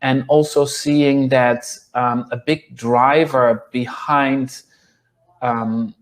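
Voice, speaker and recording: A man's voice: mid-range voice, fairly even intonation, drawn-out words. A man in his thirties from the Netherlands with a Dutch accent, speaking hesitantly with many ums as an interview guest on a video call from a small room. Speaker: guest nutritionist.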